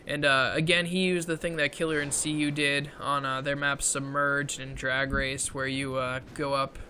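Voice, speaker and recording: A man giving casual commentary over gameplay footage, halting with many fillers, clearing his throat often, his voice strained from talking so long. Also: mid-range voice, little pitch movement, pushed and bright, a little hiss or hum. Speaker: gaming video creator.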